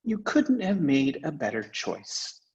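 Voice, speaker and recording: Man playing a lively, curious young girl. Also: on the high side, slow pace, lo-fi sound, voice pushed up, exaggerated voice. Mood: curious, earnest.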